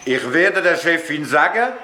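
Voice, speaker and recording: A man's voice: a little high, lively intonation, a little slow, sharp tone. Sneering Swiss German henchman, swooping delivery. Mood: contemptuous. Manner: mocking, theatrical. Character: menacing male henchman, sneering, loyal to his boss. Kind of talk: radio play acting. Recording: echoey room with clear background noise.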